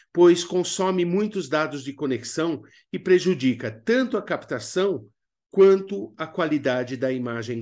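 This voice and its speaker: A man with a Brazilian accent narrates an instructional video lesson. His voice sits at an average pitch. He explains in a didactic way, dropping in conversational asides to the learner. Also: darkish tone. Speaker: instructor of a radio drama workshop.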